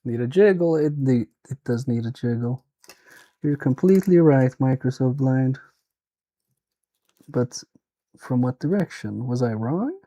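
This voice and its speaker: A man's voice: medium pitch, expressive, measured pace, pauses often, warm tone. A man with a Swedish accent, thinking aloud and muttering to himself as he repairs a toy on a live stream. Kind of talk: casual live-stream commentary while repairing.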